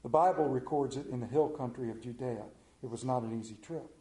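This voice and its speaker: A man's voice: medium pitch, fairly quick, mellow. A middle-aged man with an Appalachian accent, a television pastor preaching a sermon in a folksy, storytelling way full of rhetorical questions. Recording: roomy echo.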